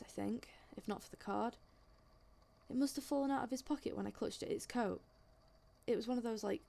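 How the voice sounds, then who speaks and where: A woman's voice: medium pitch, creaky, mellow. A woman playing an indignant, sarcastic young witness in an audio drama, speaking in a colloquial, exasperated way. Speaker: voice actor in a fan audio drama. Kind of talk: audio drama acting, conversational monologue.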